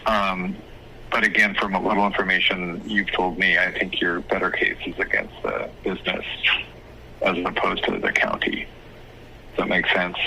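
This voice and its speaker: A man speaking as an attorney, giving advice on a phone call in a measured, hesitant, explanatory way. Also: low-pitched, animated, vocal fry, bright, forceful tone. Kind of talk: phone consultation, casual advice. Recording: phone call with faint noise.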